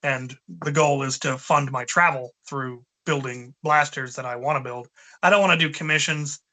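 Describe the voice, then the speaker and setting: Bright-voiced man in his forties, rambling. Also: mid-range voice, steady tone, moderate pace. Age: 40s. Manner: rambling, thinking aloud with many fillers. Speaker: co-host, blaster modder. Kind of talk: casual chat.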